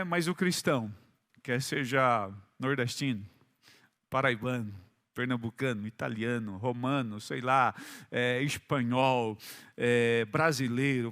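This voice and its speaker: A man with a Brazilian Portuguese accent, preaching a sermon as pastor, emphatic and repetitive, swinging between loud stresses and quiet drops. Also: mid-range voice.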